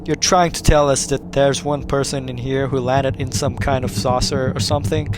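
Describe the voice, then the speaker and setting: A man's voice: medium pitch, level tone, normal speed, background noise, lightly acted. A man playing an indignant, reasoning bus passenger in an amateur radio play. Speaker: student radio play actor.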